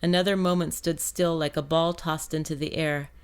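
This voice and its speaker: Low-pitched woman narrating fiction. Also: fairly even intonation, normal speed, clean tone. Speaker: audiobook narrator. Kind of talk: scripted first-person fiction narration.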